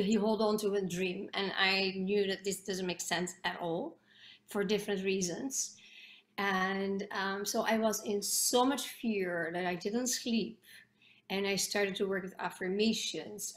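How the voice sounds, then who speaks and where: A woman's voice: mid-range voice, steady tone, unhurried. A middle-aged Dutch woman with a Dutch accent, talking earnestly as a guest on a video call interview, with many restarts and fillers. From Netherlands. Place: home room with bookshelves. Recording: echoey room on a video call.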